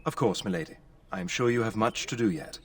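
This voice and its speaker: Polite eager young fantasy noble, bookish, bit off the mic. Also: male voice, lowish voice, animated, fast talker, far from the mic. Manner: courteous, formal, eager. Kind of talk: fantasy video game acting.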